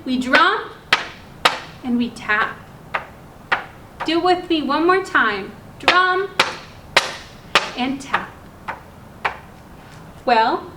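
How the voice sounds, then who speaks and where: High-pitched woman reading to young children. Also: a bit bright. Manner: simple, instructive, pausing to ask the listeners questions. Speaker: children's story time host at a library. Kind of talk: read-aloud storytelling for young children with questions. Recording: roomy echo, clear background noise.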